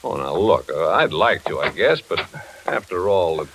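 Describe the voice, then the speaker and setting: A man's voice: low voice, very animated, normal speed, fairly bright tone, slightly rough, heavily acted, low-quality recording. An older American man playing an easygoing, reluctant retired investigator in 1940s radio suspense style, folksy and reassuring.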